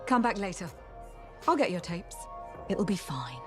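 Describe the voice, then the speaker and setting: An actress playing a breezy, shady shopkeeper in a video game, with a sly, dry, teasing manner. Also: female voice, fairly low pitch, big pitch swings, measured pace. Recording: music behind the voice.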